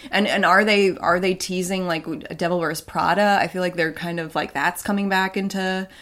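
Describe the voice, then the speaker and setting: A woman's voice: fairly low pitch, fairly even intonation, moderate pace, a bit bright. A young woman co-hosting a podcast in casual, wry, filler-heavy chat. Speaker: podcast co-host.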